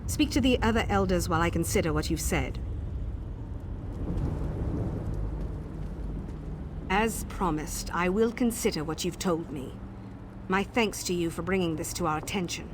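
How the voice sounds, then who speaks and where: An actress playing a dignified, courteous Wood Elf elder in a video game, formal, solemn and measured. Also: female voice, lowish voice, noisy background, lightly acted. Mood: grave. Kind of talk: video game quest dialogue.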